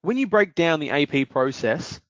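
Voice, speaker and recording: Male presenter, measured explainer, dry and dull lo-fi web audio. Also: on the high side, big pitch swings, no echo. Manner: measured, explanatory, some fillers. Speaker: webinar presenter, business development manager. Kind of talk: product demo webinar.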